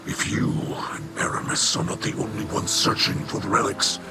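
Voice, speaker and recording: An actor playing a burdened, middle-aged alien leader, grave, halting and formal, in a video game cutscene. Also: male voice, mid-range voice, close to an everyday voice.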